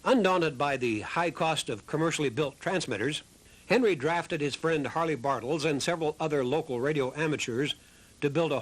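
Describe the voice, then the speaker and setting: A man reading scripted narration for a historical documentary in the role of narrator. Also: fairly high pitch.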